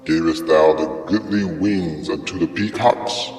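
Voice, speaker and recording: A man's voice: low-pitched, unhurried, a little mellow. A man giving a solemn, theatrical dramatic reading of archaic scripture, posing grand rhetorical questions. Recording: echoey long reverb over music. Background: music.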